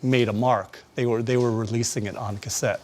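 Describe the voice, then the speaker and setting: A man's voice: mid-range voice, fairly even intonation, brisk. A man, an author, giving commentary in an interview.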